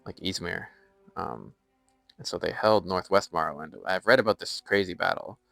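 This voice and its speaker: American man, young, low gravelly voice, relaxed chatty streamer, dry sound. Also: moderate pace, no room sound, faint music behind. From United States. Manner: relaxed, chatty, reads game lore aloud with remarks. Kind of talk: casual live-stream chat while gaming.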